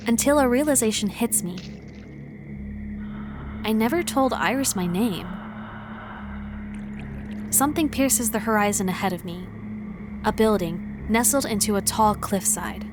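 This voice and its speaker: A woman playing a wary, determined traveler, giving measured, introspective first-person narration in a visual novel fan dub. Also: medium pitch, lightly acted. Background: music.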